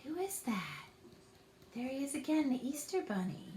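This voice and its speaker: A woman's voice: high voice, big pitch swings, normal speed, dark tone, a little hiss or hum. A woman reading a story aloud expressively, as if to young children. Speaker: storytime reader. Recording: roomy echo.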